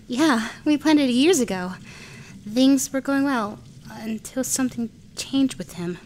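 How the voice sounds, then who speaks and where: A woman's voice: high-pitched, lively intonation, unhurried, darkish tone, a little hiss or hum, rough recording. A woman with an American accent playing a sad, sympathetic young woman in an amateur radio play.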